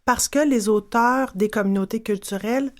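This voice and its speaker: A woman with a Québécois accent, a children's literature specialist, explaining her views in a measured, fluent way on a podcast panel. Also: fairly high pitch.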